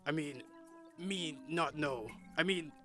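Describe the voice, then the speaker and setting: A man voicing a dim monster supervillain in a comic radio play, talking in halting, broken phrases. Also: a little high, a bit bright, soft background music. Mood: dim, flustered.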